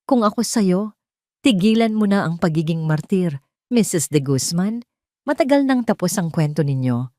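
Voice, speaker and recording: Woman narrator as younger Filipino mistress in an audio drama: composed, sugary, mocking, lively intonation, dry sound. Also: on the low side, normal speed, no room sound, close to an everyday voice. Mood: sweetly taunting. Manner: calm, sweet, taunting.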